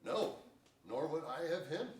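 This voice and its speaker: A man's voice: fairly high pitch, lively intonation, measured pace, a little mellow. An older man playing a bold, forthright royal officer, declaiming emphatic Shakespearean verse in a staged reading. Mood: curious, guarded. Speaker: stage actor. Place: large indoor theater stage before an audience. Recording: echoey theater, distant microphone.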